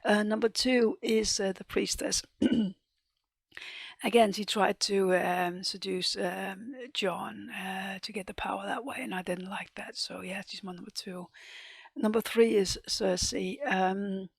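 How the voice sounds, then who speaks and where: A woman reviewing a TV episode in casual chat, losing her voice, often clearing her throat and hesitating with ums. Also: medium pitch, steady tone, takes it slowly, no echo. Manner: hesitant, many ums and restarts, soft half-whispered delivery. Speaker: TV reaction and review host.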